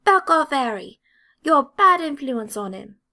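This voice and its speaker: A woman voicing an angry, blustering schoolboy in an audiobook, with a sharp, squeaky, sputtering delivery. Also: sing-song, unhurried, heavily acted. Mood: angry, confrontational. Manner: sputtering, confrontational.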